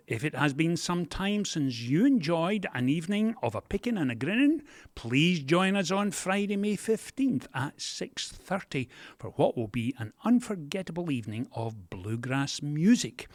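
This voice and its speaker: Pastor-host type, lively and gently joking; wide pitch swings, dry close mic. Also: male voice, dry recording. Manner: animated, gently joking delivery. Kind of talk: church podcast announcements and interview.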